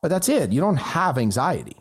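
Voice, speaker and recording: Animated Swiss-raised man, German native speaker. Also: fairly quick, breathy edge. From Switzerland. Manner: animated, emphatic, pitch jumps up on key points. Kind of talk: casual conversation.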